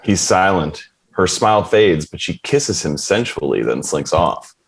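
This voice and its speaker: A man's voice: sits low, expressive, unhurried, gravelly, husky. A man reading stage directions as scripted narration for a table read.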